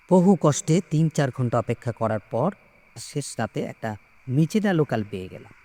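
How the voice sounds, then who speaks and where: A man narrating a scripted ghost story in the first person, in a dramatic, expressive way. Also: mid-range voice.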